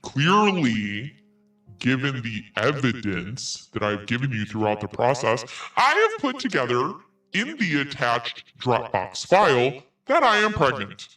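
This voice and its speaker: A man's voice: medium pitch, wildly swooping pitch, unhurried, sharp tone, recorded from a distance, low-quality recording. A man podcast host reading an email aloud in a theatrical, emphatic way. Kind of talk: dramatic read-aloud of an email.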